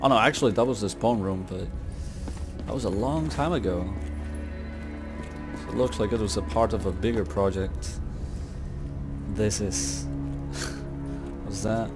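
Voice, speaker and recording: A man's voice: on the low side, measured pace, pauses often. A young man giving casual gameplay commentary in slangy language, muttering to himself as he plays. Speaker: gamer, video creator. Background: video game music and sounds.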